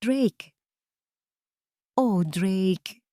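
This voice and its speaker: A woman's voice: medium pitch, very slow, mellow, dry recording, voice pushed up. A scheming young bride-to-be with money on her mind, as a woman storyteller puts her on. She is jealous and theatrical, able to turn on menace or tears. The sound is dry, fit for a romance audio drama. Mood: tearful distress. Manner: melodramatic, menacing or sobbing. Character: scheming, jealous rich fiancée, cold and tearful.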